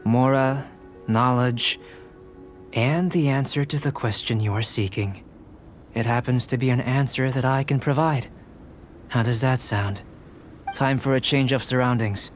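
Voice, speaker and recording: A calm young alchemist from a fantasy game, acted by a man. He talks in a measured, scholarly way, detached and clinically curious. Music plays behind his voice. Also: mid-range voice, close to an everyday voice. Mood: calm, intent. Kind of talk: scripted fantasy game dialogue.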